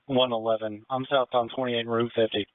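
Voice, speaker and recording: A man's voice: mid-range voice, little pitch movement, brisk. Man giving urgent clipped chase updates. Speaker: police officer in pursuit. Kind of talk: radio traffic during a chase. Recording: tinny police radio.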